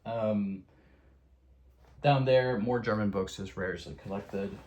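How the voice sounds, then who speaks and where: A man's voice: lowish voice, slow, darkish tone, lo-fi sound. A man born in the United States and raised in Europe, chatting enthusiastically through a bookshelf tour at home, with lots of fillers. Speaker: video creator giving a bookshelf tour. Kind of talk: casual spoken vlog.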